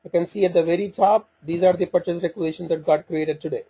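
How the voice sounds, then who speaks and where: A man giving an informal step-by-step software walkthrough, speaking haltingly as he thinks aloud while working through the screens. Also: fairly high pitch, steady tone, slightly rough, poor audio. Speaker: software demonstrator. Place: voice-over for a screen recording.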